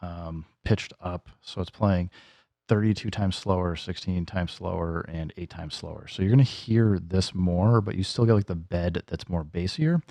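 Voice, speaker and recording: A man hosts a casual hands-on tutorial in a very low voice with plenty of gravel. His tone is dark and relaxed, and he thinks aloud informally as he demonstrates. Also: moderate pace, no echo. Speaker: music gear tutorial host.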